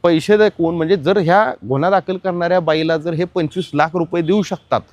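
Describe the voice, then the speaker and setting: A man's voice: fairly high pitch, brisk, no room sound, highs cut off. A man presenting explanatory crime news commentary, emphatic and speaking straight to the audience.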